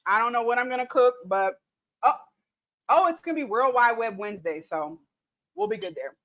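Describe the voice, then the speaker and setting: A chatty, easygoing woman in her late thirties with a drawl, her voice pitched a little high. She talks loosely and laughs often, a little tipsy, like she's reading comments off her own livestream. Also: animated, normal speed, dull audio. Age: late 30s. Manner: chatty, laughing, reads viewer comments aloud. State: tipsy from mimosas. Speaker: cooking livestream host. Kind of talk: casual livestream chat.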